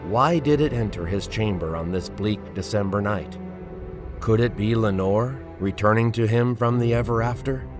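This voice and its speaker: A man giving dramatic scripted narration as an introducer, measured, ominous and theatrical. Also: on the low side. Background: prominent music.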